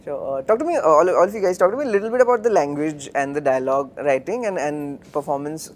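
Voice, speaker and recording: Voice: mid-range voice, expressive, fast talker. An interviewer who rambles and thinks aloud while asking questions.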